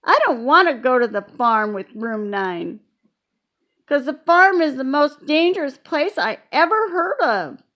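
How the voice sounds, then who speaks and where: A woman reading an audiobook and voicing a spunky, bossy kindergarten girl with shrill, excitable exclamations. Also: a little slow. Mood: insistent. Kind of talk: children's audiobook character voice.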